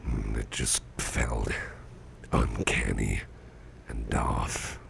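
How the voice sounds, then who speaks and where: A man playing a weary, courteous businessman boss in an intimate ASMR roleplay, murmuring in a gravelly, breathy near-whisper with folksy hesitations. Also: rumbling low, flat delivery, drawn-out words, heavily acted. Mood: musing, confiding. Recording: clearly audible background noise.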